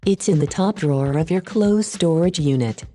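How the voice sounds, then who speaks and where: A woman's voice: low-pitched, normal speed, dark tone, faint music behind, natural voice. A woman playing a polite, helpful domestic AI in an audio drama, friendly and matter-of-fact. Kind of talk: scripted audio drama dialogue.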